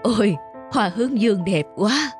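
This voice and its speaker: A woman voicing a frail, gentle old wife in a cartoon, speaking softly and wistfully. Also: mid-range voice, animated, moderate pace, faint music behind, close to an everyday voice. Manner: soft, wistful.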